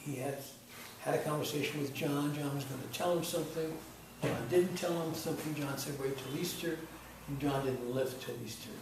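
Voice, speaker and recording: A man's voice: on the high side, steady tone, brisk. A man casually discussing a script in a group, in an echoey, noisy room. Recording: echoey room, far from mic, clear noise.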